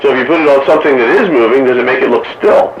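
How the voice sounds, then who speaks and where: A man's voice: mid-range voice, racing. Joking man on a muffled radio channel. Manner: joking, anecdotal. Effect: two-way radio transmission. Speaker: amateur radio operator chatting on a radio round table. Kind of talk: casual chat. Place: radio transmission picked up by a receiver. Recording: muffled, staticky radio reception with faint noise.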